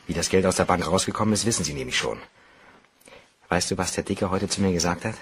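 An actor playing an anxious, irritable bank cashier with a guilty secret in a German crime radio play, nervy and brooding. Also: male voice, sits low, fast, a little hiss or hum. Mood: worried, bitter.

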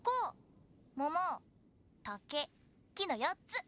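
An actress playing a playful, teasing young funeral director in an anime game, with theatrical, sing-song sales talk. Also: female voice, high-pitched, sing-song, extremely slow, fairly bright tone, heavily acted. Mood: persuasive. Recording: clean studio voice over game music.

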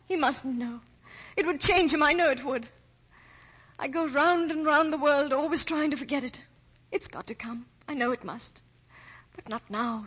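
An actress playing a refined, emotional young woman in love, breathy-voiced, in a 1940s radio melodrama. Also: high voice, fairly bright tone. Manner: emotional, genteel. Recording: dull old 1940s radio recording.

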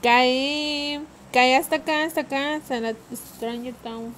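A woman's voice: sits high, steady tone, slow pace, a bit bright, a little hiss or hum. Playful woman streaming a game. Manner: playful, thanks viewers, swears when frustrated. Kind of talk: casual live-stream chat while gaming.

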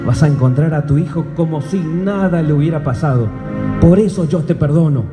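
An actor playing a gentle, fated gaucho outlaw with an Argentine accent, earnest and pleading, in live radio drama. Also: male voice, a little high, fairly quick, lightly acted. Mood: pleading, forgiving. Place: stage in a large hall before an audience. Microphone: stage microphone. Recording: reverberant hall, music clearly behind.

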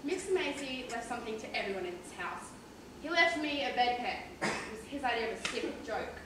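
A woman's voice: a little high, animated, a little fast, close to an everyday voice. A woman playing an aggrieved, gossipy care-home owner with a confiding manner in an amateur stage play. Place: large theatre stage, indoors. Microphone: far camera. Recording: echoey theatre from far off, noisy.

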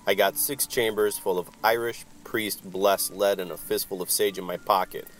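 A man playing a gruff, wry, world-weary supernatural private detective, narrating in old-time noir radio style. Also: deep voice, steady tone, moderate pace, slight background noise, natural voice, rough recording. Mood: gruff, threatening. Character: hard-boiled, wisecracking male supernatural private detective.

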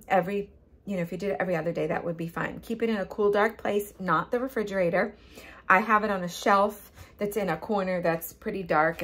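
A woman's voice: mid-range voice, slightly rough. An older woman hosting a home cooking channel, explaining a kitchen how-to in a casual, chatty way, filmed on a phone in her kitchen. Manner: chatty, gestures with hands, frequent ums. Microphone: phone camera at close range.

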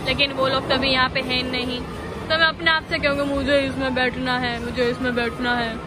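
A young woman with a high voice chats brightly while showing off a mall. She holds her phone at arm's length, and a loud crowd and heavy noise fill the background. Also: flat delivery, a little fast. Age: young adult. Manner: upbeat, chatty tour-guide narration. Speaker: vlogger. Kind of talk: casual mall tour vlog. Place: busy shopping mall. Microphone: phone held at arm's length.

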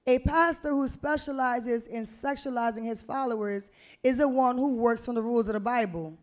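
High-pitched young woman reciting spoken word. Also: moderate pace. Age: young adult. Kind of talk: spoken word poetry recital at an open mic. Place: small indoor venue stage with brick wall. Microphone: handheld-type vocal mic on a stand, close to the mouth.

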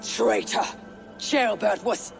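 An actor playing a grim, blunt Viking raider in a game cutscene. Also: male voice, high voice, vocal fry, soft background music, heavily acted. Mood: angry. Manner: blunt, measured. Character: stern male Viking raider, grim and steady. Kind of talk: video game cutscene acting.

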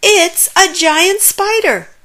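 A woman voicing a timid, squeaky little pig in a storybook. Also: takes it slowly, fairly bright tone, exaggerated voice. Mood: scared. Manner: nervous, squeaky and high.

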